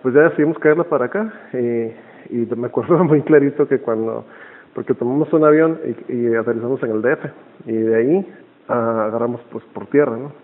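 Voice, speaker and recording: A man's voice: medium pitch, a little fast. A man with a northern Mexican accent chatting casually and jokily as a podcast host, full of slang. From Nicaragua, moved to Tijuana, Mexico. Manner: slangy, jokey, laughs often. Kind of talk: casual phone chat for a podcast. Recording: thin, phone-like band-limited sound.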